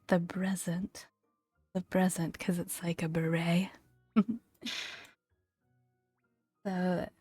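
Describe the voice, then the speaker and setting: A woman speaking softly and breathily in a gentle, playful ASMR style, often dropping into a whisper as she reads and comments. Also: on the low side, no echo, clean studio sound. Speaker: gaming video host. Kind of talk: soft-spoken ASMR, reading creature entries with casual commentary.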